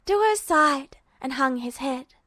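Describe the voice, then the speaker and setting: Lady reading fiction aloud, pretty high voice, very dry recording, narrator style. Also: animated, slow pace, bright, forceful tone, no room sound. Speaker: podfic narrator. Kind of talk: scripted story narration.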